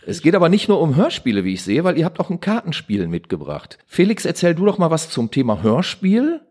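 Animated man hosting a podcast, playful. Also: on the high side, brisk. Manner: playful, jokey. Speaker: podcast host. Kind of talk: casual podcast interview.

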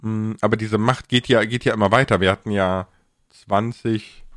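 A man's voice: lowish voice, steady tone, normal speed, fairly bright tone, no room sound. Colloquial man chatting, dry close sound, sibilant s. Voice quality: slightly stressed sibilant s sounds. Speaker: podcast host and content creator. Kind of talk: casual podcast chat.

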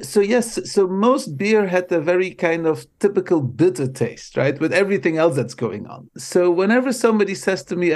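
A man's voice: high-pitched, a little mellow. A middle-aged man from Belgium, a native Dutch speaker with a Belgian Dutch accent. He is a guest on a podcast, chatting casually and telling stories, and he often doubles up words like "so, so" and laughs between phrases. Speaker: guest, marketing professor.

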